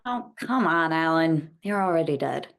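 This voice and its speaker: A woman's voice: deep voice, lively intonation, normal speed, creaky. A woman playing an earnest, easily exasperated young student teacher in a script table read.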